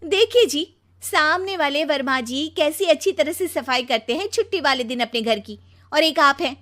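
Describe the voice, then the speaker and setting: A woman voicing a demanding, sharp-tongued young wife with a complaining, exclamatory manner in an audio story. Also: high voice, animated, moderate pace, voice pushed up. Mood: nagging.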